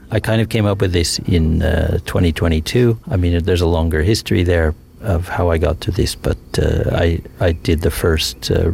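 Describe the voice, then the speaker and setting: Deep gravelly American man, unhurried. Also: a little mellow, a little hiss or hum. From United States. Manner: thoughtful, rambling with fillers and restarts. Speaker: multimedia sound artist. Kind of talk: interview, casual explanation.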